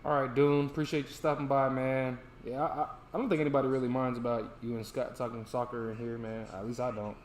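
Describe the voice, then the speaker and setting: A man's voice: medium pitch, little pitch movement, quick pace, few pauses, a little hiss or hum. A man with an American accent hosting a sports stream, chatting in a laid-back, colloquial way. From United States. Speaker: host of a sports watch-along stream. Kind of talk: casual chat. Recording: roomy, echoey sound.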